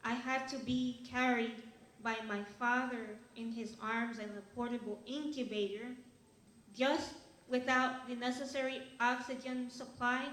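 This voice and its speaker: A young woman from Ecuador giving an earnest, halting acceptance speech at an awards event, with fillers and restarts. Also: a little high, a bit bright. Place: event hall. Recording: echoey hall.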